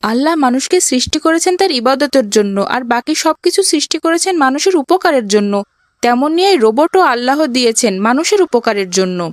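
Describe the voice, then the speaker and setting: A woman's voice: sits high, lively intonation, fairly quick, no room sound, studio quality. A woman voicing a pious, kind young boy in a children's cartoon, talking earnestly and patiently.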